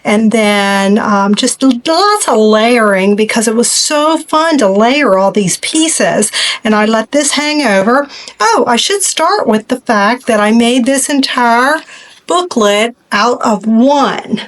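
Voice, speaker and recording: Woman host, middle-aged, higher voice, hoarse, loose rambling show-and-tell delivery. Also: animated, takes it slowly. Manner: chatty, rambling, many ums and asides. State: losing her voice. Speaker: craft video host. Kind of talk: casual show-and-tell of crafts.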